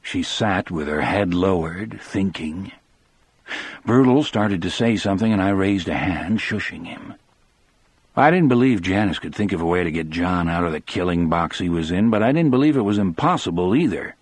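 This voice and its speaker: Guy reading a dramatic audiobook, lowish husky voice, really animated pitch, super dry sound. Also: moderate pace, no echo. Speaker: audiobook narrator. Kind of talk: scripted dramatic first-person narration.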